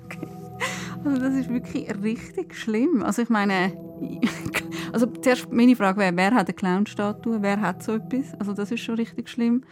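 A woman's voice: on the high side, moderate pace, over music. A woman co-hosting a podcast, chatting casually. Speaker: podcast co-host and producer.

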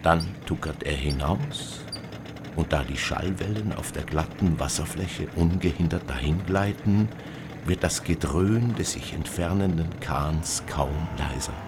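Very deep-voiced man reading literary prose. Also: unhurried, noisy background. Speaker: narrator reading a literary text. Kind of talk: scripted literary narration.

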